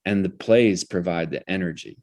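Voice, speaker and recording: Deep voice guy being interviewed, brainy and reflective, restarts his sentences while explaining stuff. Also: moderate pace, darkish tone. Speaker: interview guest, theater director.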